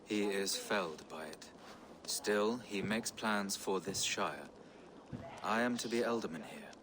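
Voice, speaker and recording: A dignified medieval bishop. He speaks formally and soberly in a lowish voice, in dramatic game style over faint noise. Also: fairly bright tone. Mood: solemn, loyal. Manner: formal, measured, declarative. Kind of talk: dramatic video game acting.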